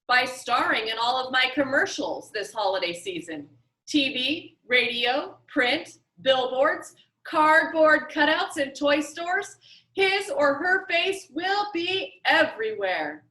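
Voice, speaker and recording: A woman voicing an eccentric, showy billionaire game-maker in a storybook reading, announcing grandly with drawn-out emphasis. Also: sits high, expressive, sharp tone, heavily acted. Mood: grand, excited. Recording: roomy echo.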